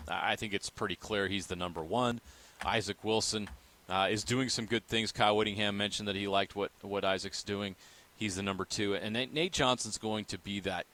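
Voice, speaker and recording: A man's voice: lowish voice, bright, forceful tone. A man hosting sports radio, giving his analysis in conversation.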